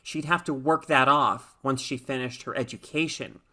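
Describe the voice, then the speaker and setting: A woman reading a short story aloud in a measured, expressive narrating style. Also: rumbling low. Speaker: story reader.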